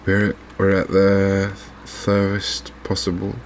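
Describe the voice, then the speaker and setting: A man giving calm, understated, softly spoken ASMR commentary on a video game, in an unhurried way. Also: low-pitched, a little hiss or hum. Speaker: gaming video host.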